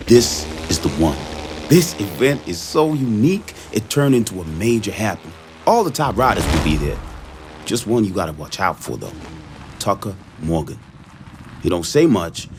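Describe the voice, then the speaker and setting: Male game promoter: punchy, commanding, lowish voice with big pitch swings, noisy vehicle backdrop. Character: pushy, hyped-up male motorbike race promoter. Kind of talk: video game cutscene dialogue. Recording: clear vehicle noise behind.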